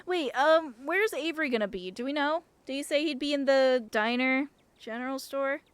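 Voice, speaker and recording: A woman streamer giving casual, informal game commentary and reading aloud in an expressive, reactive way. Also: high voice, moderate pace, dry recording.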